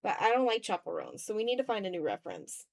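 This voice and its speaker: A woman's voice: medium pitch, sing-song, fast talker, gravelly. A woman hosting a casual art livestream, thinking aloud in a self-deprecating way as she draws. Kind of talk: casual chat while drawing on a livestream.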